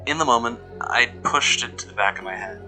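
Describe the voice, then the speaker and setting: A man playing an uneasy investigator who recounts events in measured, deliberate horror narration. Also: mid-range voice, bright, forceful tone, rough recording. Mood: measured unease. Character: uneasy male investigator recounting being followed. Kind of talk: scripted first-person horror narration. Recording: music behind the voice.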